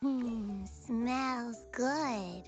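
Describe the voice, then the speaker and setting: A woman's voice: a little high, extremely slow, lo-fi sound, over loud music. A woman voicing a tiny, proud magical creature in a video game, cute, haughty and boastful, with little hums. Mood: curious.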